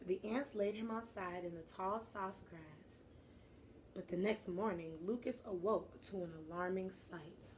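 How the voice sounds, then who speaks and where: A woman teacher doing an animated storybook read-aloud, encouraging and pausing with questions for young listeners. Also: mid-range voice, a little hiss or hum. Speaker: teacher reading a picture book aloud to children.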